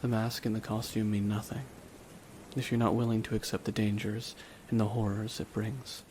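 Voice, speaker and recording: A man playing a brooding, remorseless villain in a dramatic ASMR roleplay, speaking in a hushed, deliberate way with long pauses. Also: on the low side, natural voice. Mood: solemn, reflective. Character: brooding, remorseless male villain at his dying nemesis's side. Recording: steady rain noise behind the voice. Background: rain falling on a surface.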